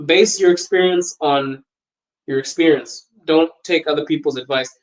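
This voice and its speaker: A young man from Botswana chats loosely on a livestream, answering viewer comments as he goes. His voice sits a little high for a man, and he laughs often and rambles between topics. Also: normal speed, rough recording. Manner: animated, laughing often, wandering and talkative. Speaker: podcast host on a livestream. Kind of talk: casual livestream chat reading viewer comments.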